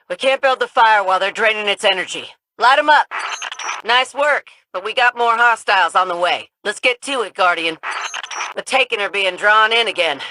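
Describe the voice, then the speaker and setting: A man's voice: high-pitched, bright, forceful tone, slight background noise, full character voice, low-quality recording. A man voicing a laid-back, folksy video game character who urges the player on at a bonfire event, clipping his words. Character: folksy drawling man hosting a fireside event.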